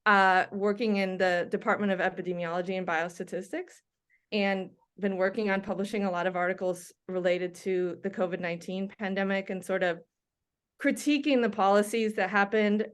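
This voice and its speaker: Middle-aged woman from denmark, doctor being interviewed, lots of ums, smooth clear voice. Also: medium pitch, fairly even intonation, normal speed. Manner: chatty, many ums and restarts. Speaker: guest, doctor and researcher. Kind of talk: conversational interview.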